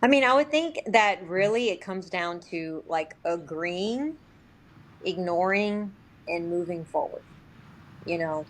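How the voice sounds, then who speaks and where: A woman guesting on a podcast, talking informally with lots of "like" and quick quoted examples. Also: fairly low pitch, expressive. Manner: informal, heavy use of "like", acts out short quotes. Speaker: sales agent, podcast guest. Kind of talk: casual podcast interview.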